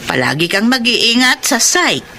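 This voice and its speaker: Casting: coaxing, motherly older woman, lively swooping intonation, sharp bright tone, faint noise. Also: a little high, unhurried, heavily acted. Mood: fussing, caring. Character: doting, fussing older mother of grown son. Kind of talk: Tagalog radio drama acting.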